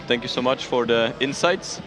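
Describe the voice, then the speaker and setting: A man's voice: medium pitch, moderate pace, noisy background. A man commentating casually at a sports event in an informal, upbeat manner with non-native English phrasing. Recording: music playing behind the voice.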